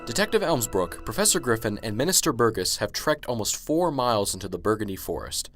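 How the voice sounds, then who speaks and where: A man's voice: medium pitch, very animated, a little fast, a bit bright, faint music behind. A man acting as the announcer of an amateur radio serial, reading scripted narration. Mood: formal.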